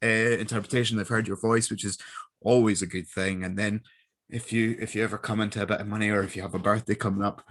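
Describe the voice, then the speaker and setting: A man's voice: fairly low pitch, flat delivery, quick pace, a bit bright. A man chatting casually and giving advice as a guest voice actor, informal and emphatic, often repeating himself.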